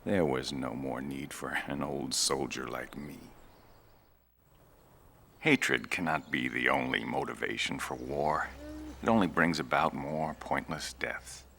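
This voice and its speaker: An elderly retired fighter pilot in a game's mock documentary interview. His voice is extremely low and gravelly, weighed with grief and resignation. Clear ambient noise sits behind him. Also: measured pace, pushed and bright, heavily acted. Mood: grieving, somber. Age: older. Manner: somber, resigned. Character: old grieving male ace pilot and instructor.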